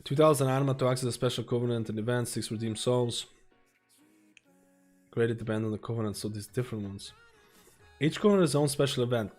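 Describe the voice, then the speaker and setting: A man live-streaming, reading a guide and viewer questions aloud in a halting, casual way and breaking into asides while losing his voice. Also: mid-range voice, a little mellow, soft background music.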